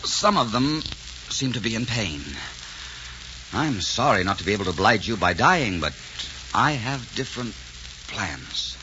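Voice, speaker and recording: Ardent swashbuckling hero in noisy old radio drama. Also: male voice, on the low side, animated, unhurried. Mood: cool, wounded. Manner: theatrical, impassioned. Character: ardent, gallant swashbuckling Frenchman, romantic and proud. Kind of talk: 1940s radio acting. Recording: noisy, muffled 1940s radio recording.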